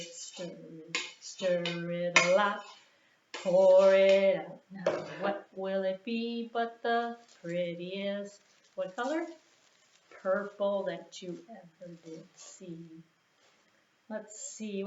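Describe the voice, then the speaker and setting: A woman hosting a children's storytime in an encouraging way, with simple, repeated sing-along phrases and questions for young listeners. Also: medium pitch. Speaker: children's storytime host at a library. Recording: roomy echo, dull sound, background noise.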